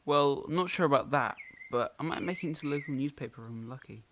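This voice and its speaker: A man's voice: medium pitch, quick pace, fairly bright tone, natural voice. A young man playing a self-doubting, poetic farmer's son in an amateur audio drama.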